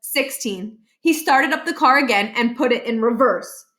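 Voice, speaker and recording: Higher-pitched woman reading a children's book. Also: normal speed, bright, forceful tone. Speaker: storybook reader. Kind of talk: expressive read-aloud narration of a children's novel.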